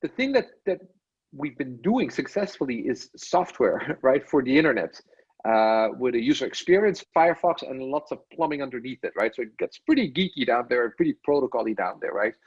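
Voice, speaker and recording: Belgian-born tech guest, husky slightly high voice, dull lo-fi line, rambling reflective chat. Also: male voice, expressive, brisk. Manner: thinks aloud, frequent "right?" and restarts. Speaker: podcast guest, tech studio lead. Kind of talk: casual interview talk.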